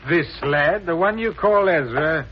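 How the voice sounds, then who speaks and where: An actor playing a menacing, mock-courtly deserter soldier with archaic phrasing in 1950s radio drama. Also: male voice, on the high side, a little slow, lightly acted. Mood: sly, courtly. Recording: noisy muffled 1950s radio recording.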